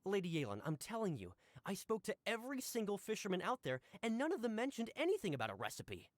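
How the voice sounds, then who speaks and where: A flustered, eager guard. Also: male voice, high voice, wildly swooping pitch, fast talker, dry recording. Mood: defensive. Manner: earnest and apologetic. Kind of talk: game dialogue. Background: game music.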